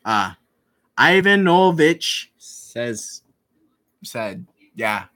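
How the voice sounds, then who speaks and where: A gaming host with a bright, forward voice and a very slow pace reads a visual novel aloud. The delivery is theatrical and halting, with words repeated, in a casual let's play style. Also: medium pitch, very animated. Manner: theatrical, puts on voices while reading.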